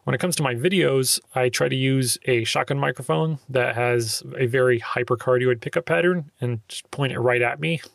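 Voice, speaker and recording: A man's voice: medium pitch, normal speed, creaky, hardly any pauses, dry recording. A young man with a slightly nasal voice hosts a casual solo podcast, answering listener questions in a loose, filler-heavy way. He records in a small sound-treated closet on a handheld recorder held close.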